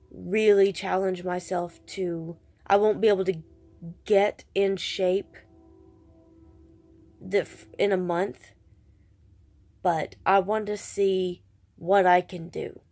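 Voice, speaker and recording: A woman's voice: medium pitch, creaky, a little mellow. A woman with a stuffed-up, congested voice, chatting casually in a vlog and rambling with self-deprecating restarts. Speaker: vlogger.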